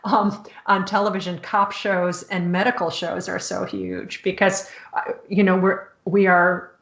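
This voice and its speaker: Woman host, native Czech speaker, halting with fillers, roomy echo, casual chat. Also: lowish voice, flat delivery, vocal fry. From United States. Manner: halting, many restarts and fillers. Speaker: host, author. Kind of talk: casual conversation.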